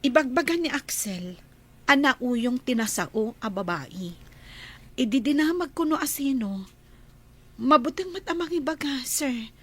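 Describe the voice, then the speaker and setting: A gentle carer in a radio drama, played by a woman, speaking slowly and softly in a slightly husky, somewhat high voice. She coaxes and reassures, and often drops into a near-whisper. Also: lively intonation. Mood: explaining. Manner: soft, coaxing, often whispered asides. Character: gentle, patient woman caring for a child.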